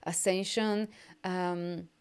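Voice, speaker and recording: A woman's voice: on the low side, fairly even intonation, very slow, clean tone. A woman from Poland, speaking English as a second language, giving an upbeat, rambling live session as an energy healer and coach, full of fillers and restarts. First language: Polish. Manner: upbeat and encouraging. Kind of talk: live video session reading viewer comments.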